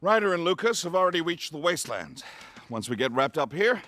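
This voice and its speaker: An actor playing an excitable, learned and determined scientist mentor in an animated video game. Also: male voice, on the high side, a little fast, slight background noise. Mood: composed, purposeful.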